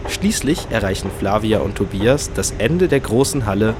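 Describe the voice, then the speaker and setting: A man with a fairly low voice narrates a scripted story for children. Music plays clearly behind his storytelling. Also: animated, moderate pace.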